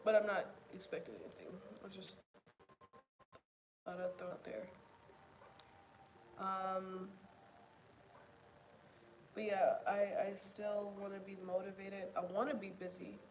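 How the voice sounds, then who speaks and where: A soft-spoken woman talking reflectively to the camera at home, pausing to think and at times dropping almost to a whisper. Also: mid-range voice, steady tone, slight background noise, rough recording. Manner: pauses to think, drops to near whispers. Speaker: video journaler. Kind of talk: reflective casual talk to camera.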